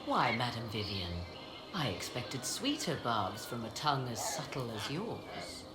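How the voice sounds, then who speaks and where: A woman's voice: extremely low pitch, sing-song, a little slow, exaggerated voice. An actress playing a haughty, knowing witch in a video game, speaking in formal, archaic, sardonic phrases. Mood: cool, musing. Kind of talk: dramatic video game voice acting. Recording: echoey game audio under music.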